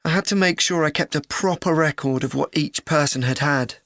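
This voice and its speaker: A woman's voice: sits low, a little fast, fairly bright tone, no room sound, studio quality. A woman playing an earnest university student in a scripted educational dialogue. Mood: earnest, thoughtful. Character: earnest university student discussing a joint presentation.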